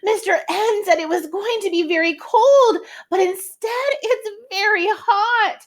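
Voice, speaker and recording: A woman's voice: very high-pitched, measured pace, voice pushed up. A woman voicing a cheerful, polite lady character in a children's storybook, with an exclamatory, sing-song delivery. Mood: exasperated surprise. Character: Miss W, cheerful polite lady letter, weather forecaster.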